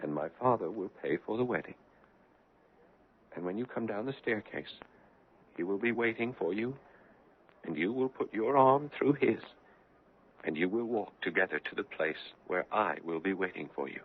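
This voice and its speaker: An anguished, low-voiced young fiancé. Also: male voice, expressive, normal speed, long pauses, slightly rough, exaggerated voice. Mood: hushed, pleading. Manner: intense, dramatic, swinging from forceful to hushed. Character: anguished, insistent young fiancé pressing his bride-to-be. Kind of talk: 1970s radio drama acting. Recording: tinny, noisy 1970s radio recording.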